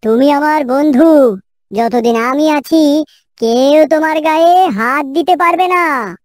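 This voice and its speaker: A woman's voice: sits high, slow, dry recording, clean studio sound. A woman voicing a kind, trusting and slightly proud heron in a children's cartoon, earnest and generous. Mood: kindly.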